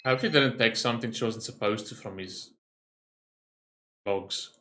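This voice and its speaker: A man's voice: fairly low pitch, normal speed, pushed and bright, a little hiss or hum. A man doing casual live reaction commentary as a gaming streamer, thinking aloud in short remarks. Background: game music.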